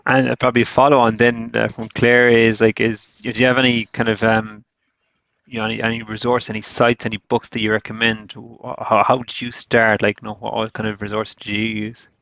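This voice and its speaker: A man's voice: lowish voice, flat delivery, fairly quick, vocal fry, fairly bright tone, no echo. A male moderator reading audience questions in a hesitant way, with many fillers. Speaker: webinar moderator. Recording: dry, muffled call audio.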